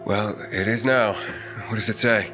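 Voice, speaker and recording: An actor playing an impulsive, stubborn young man in a video game cutscene, with a gravelly voice and blunt, informal, naturalistic delivery. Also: on the low side, steady tone, measured pace, natural voice. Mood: insistent, troubled. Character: impulsive, stubborn young man searching for his lost sister. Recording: music behind the voice.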